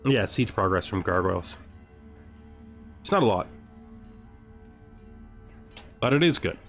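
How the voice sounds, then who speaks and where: A man's voice: fairly low pitch, very animated, normal speed, fairly bright tone, soft background music. A man commentating casually on a video game he plays, thinking aloud and reading text from the screen. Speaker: gameplay streamer.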